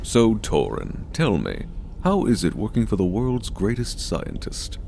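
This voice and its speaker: A stern senior scientist for an audio drama. His low voice has a gravelly edge and swings expressively as he hands down condescending, measured orders. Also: wildly swooping pitch, warm tone, a little hiss or hum, full character voice. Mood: composed, measured. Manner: measured, authoritative, clipped orders.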